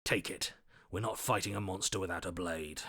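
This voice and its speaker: A combative male inner voice from a video game, low and hard-edged. He is stubborn and scornful, giving orders with force, in a close, dry sound. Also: fairly even intonation, moderate pace, pushed and bright, no echo, full character voice. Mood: grim determination. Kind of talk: dramatic video game voice acting.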